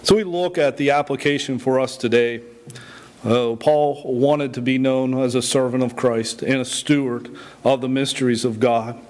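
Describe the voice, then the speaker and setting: A man's voice: medium pitch, fairly even intonation, normal speed, a little mellow. A man in his forties preaching a sermon and reading scripture, often repeating words and stumbling as he goes. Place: indoor church, at a wooden pulpit.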